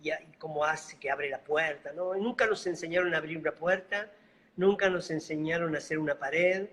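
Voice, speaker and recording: A middle-aged man from Buenos Aires with a soft Argentine accent that carries a Bolivian lilt, calmly telling stories as the guest of a video-call interview. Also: a little high. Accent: Argentine Spanish, slightly Bolivian-tinged. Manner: unhurried, gentle, fond of diminutives. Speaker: guest, mime and clown actor. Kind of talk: casual interview storytelling.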